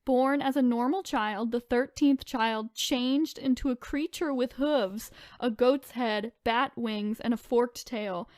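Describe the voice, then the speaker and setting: A woman's voice: a little high, takes it slowly, hardly any pauses, darkish tone, dry recording, studio quality. A woman co-hosting a podcast in casual chat, informal and chatty, sometimes reading out descriptions with asides. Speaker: podcast co-host.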